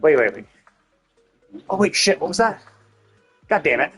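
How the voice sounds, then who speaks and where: Rambling higher-pitched male game streamer. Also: very animated, moderate pace, breathy edge, soft background music. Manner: rambling, thinking out loud, self-interrupting; casually profane. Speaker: livestreamer. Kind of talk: casual gameplay stream commentary.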